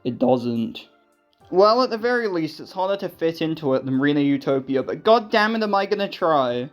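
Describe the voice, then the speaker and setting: A man's voice: fairly high pitch, animated, brisk, lo-fi sound, soft background music. A man giving casual scripted commentary as a video essayist, with a sarcastic, self-deprecating manner and some swearing.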